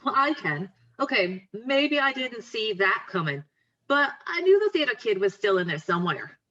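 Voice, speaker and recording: A woman playing a bright, high-voiced wry best friend. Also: big pitch swings, normal speed, highs cut off. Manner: teasing, sardonic, confident. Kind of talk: table read acting, conversational.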